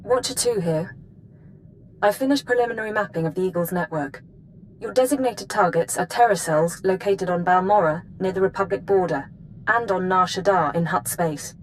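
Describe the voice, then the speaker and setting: An actress playing an efficient, reserved intelligence analyst in a video game, formal and precise. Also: female voice, lowish voice, moderate pace, slight background noise, natural voice, low-quality recording. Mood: brisk, detached. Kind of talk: scripted game dialogue.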